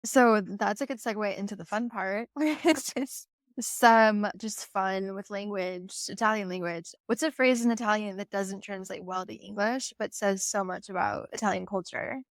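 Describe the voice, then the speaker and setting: A woman's voice: medium pitch, steady tone, moderate pace, dry recording. A woman hosting a podcast, asking questions and affirming her guest in a casual, friendly conversation. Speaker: podcast host, interviewer.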